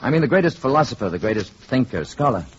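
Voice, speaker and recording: An actor playing a calm, learned stranger from space posing as an ordinary man, speaking politely and with quiet assurance in 1950s radio drama style. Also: medium pitch, quick pace, lightly acted. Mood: calm, curious. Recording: dull, noisy 1950s radio recording.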